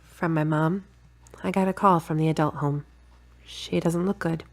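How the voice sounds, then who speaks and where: A woman playing a frank, slightly bossy and stubborn girlfriend in an audio drama, brisk and matter-of-fact. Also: low voice, fairly even intonation. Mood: frank, weary. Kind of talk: acted audio drama dialogue.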